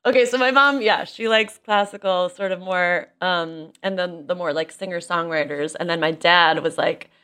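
A woman in her thirties with an American accent, chatting casually in an expressive, slangy, self-deprecating way. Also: lowish voice, normal speed, hardly any pauses, smooth. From small town in Indiana, United States. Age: 30s. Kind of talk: casual chat.

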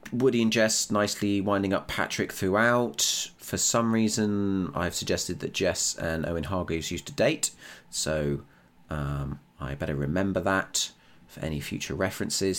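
British guy w a low voice doing a casual podcast diary, candid, bit sweary, self-deprecating. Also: a bit bright. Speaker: podcast writer and creator. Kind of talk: casual behind-the-scenes audio diary.

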